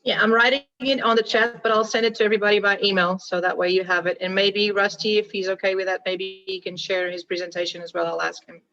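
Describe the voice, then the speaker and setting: A woman's voice: mid-range voice, flat delivery, quick pace, hardly any pauses, fairly bright tone. Female meeting organizer, practical, matter-of-fact, muffled lo-fi call audio. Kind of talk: casual chat.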